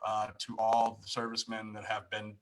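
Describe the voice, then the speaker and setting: A man's voice: lowish voice, completely flat delivery, normal speed. A man hosting a casual live stream, talking informally and often repeating his phrases. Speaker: live show host.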